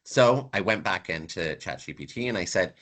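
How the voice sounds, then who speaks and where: A man's voice: deep voice, expressive, normal speed, fairly bright tone. A man who grew up in Canada, giving a conversational online university lecture and repeating words for emphasis.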